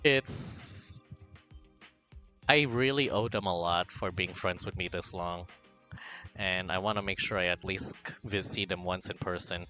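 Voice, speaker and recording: A man from the Philippines chatting casually on a livestream, reading chat aloud in a campy, animated way, drifting between quiet mumbles and loud bursts of excitement. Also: low voice, unhurried, soft background music. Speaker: streamer.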